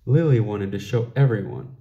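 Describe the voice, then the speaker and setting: A man reading a children's picture book aloud in an expressive storytelling style, with deliberate phrasing. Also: lowish voice, creaky, muffled recording. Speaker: storybook reader.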